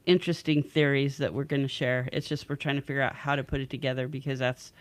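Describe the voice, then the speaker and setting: A woman from northern California with a husky, worn voice, a guest paranormal investigator chatting casually with a rambling, hesitant manner. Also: fast talker, dry recording. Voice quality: husky, lowered voice. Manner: relaxed, rambling, hesitant with fillers. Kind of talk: casual chat.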